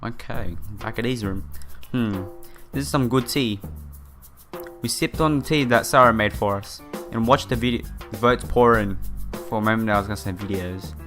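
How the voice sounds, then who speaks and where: A man's voice: lowish voice, normal speed, a bit bright. Man reading a game aloud over music. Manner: stumbles over words and rereads phrases. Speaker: gaming YouTuber playing a visual novel. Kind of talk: casual let's play, reading game text aloud with side comments. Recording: music playing behind the voice.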